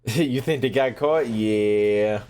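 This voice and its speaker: Animated, slangy male game streamer. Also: mid-range voice. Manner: slangy, profane, joking. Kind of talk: casual live gameplay commentary.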